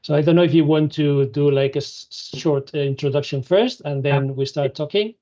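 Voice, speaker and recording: Panel moderator, hesitant, filler words, mid pitch, dull lo-fi audio. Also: moderate pace, dark tone. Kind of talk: hosting an online panel.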